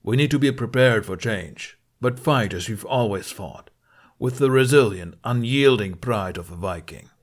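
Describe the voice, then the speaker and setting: A man voicing a stern, weary middle-aged Viking chief in an audiobook, grave and resolute in a measured way. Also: medium pitch, lively intonation, lightly acted. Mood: resolute, rallying.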